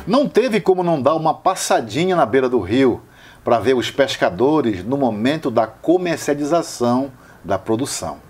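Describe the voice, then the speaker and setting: A man's voice: medium pitch, big pitch swings, moderate pace, a little mellow, soft background music. A man narrating a travel video voice-over about a fish market, with admiring delivery.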